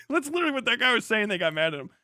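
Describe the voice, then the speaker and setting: A man's voice: very high-pitched, big pitch swings, very fast, pushed and bright, dry recording, clean studio sound. A man doing casual, joking reaction commentary as a live streamer, sarcastic and swearing freely.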